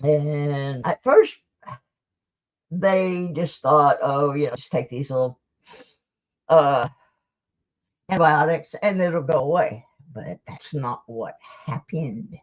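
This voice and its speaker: A woman's voice: low voice, takes it slowly, long pauses. A woman hosting a live stream, chatting casually and rambling to her viewers in a folksy, self-deprecating way, on a dull lo-fi recording. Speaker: livestream host.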